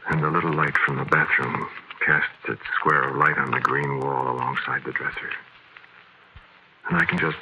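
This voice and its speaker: An actor playing a sleepy, haunted man who tells his eerie tale haltingly in an old radio drama. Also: very deep voice, steady tone, exaggerated voice. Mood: drowsy, uneasy. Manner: hushed, halting, dreamlike storytelling. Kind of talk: 1940s radio drama first-person narration. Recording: noisy vintage radio recording.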